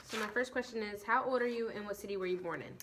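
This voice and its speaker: A woman's voice: mid-range voice, quick pace, fairly bright tone, slight background noise. A woman interviewing her friend for a class project and reading her questions plainly. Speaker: student interviewer.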